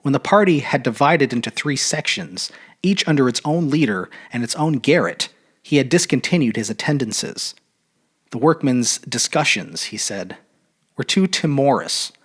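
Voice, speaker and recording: A man narrating a literary short story aloud in an expressive, measured, dramatic audiobook style. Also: medium pitch, slightly rough. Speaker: storyteller reading fiction aloud.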